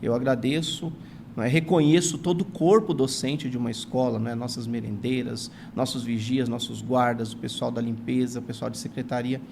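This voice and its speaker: A man's voice: mid-range voice, fairly even intonation, a little fast, creaky, a little mellow, slight background noise. A man in his forties from São Paulo with a São Paulo accent, a guest telling his life story in an earnest, grateful way, often adding "não é" to his sentences. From São Paulo, Brazil. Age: 40s. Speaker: guest, retired teacher and writer. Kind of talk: reflective storytelling in an interview.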